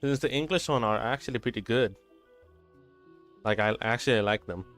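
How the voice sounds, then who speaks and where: A man's voice: mid-range voice, moderate pace, fairly bright tone. Casual male game streamer over faint music. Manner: informal, swears casually. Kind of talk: casual livestream chat during gameplay.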